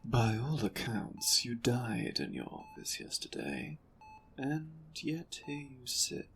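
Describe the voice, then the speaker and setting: A man playing an imperious, menacing board leader in an audio drama, speaking in a condescending, deliberate, sardonic way. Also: medium pitch, sing-song, vocal fry, fairly bright tone, slight background noise, full character voice. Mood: dry, probing. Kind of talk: audio drama roleplay acting.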